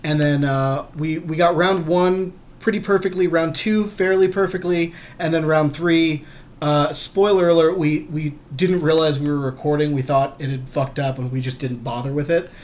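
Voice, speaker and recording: A man's voice: on the high side, fairly even intonation, moderate pace, darkish tone. A man co-hosting a podcast in casual, unscripted chat, candid and prone to swearing. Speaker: podcast host.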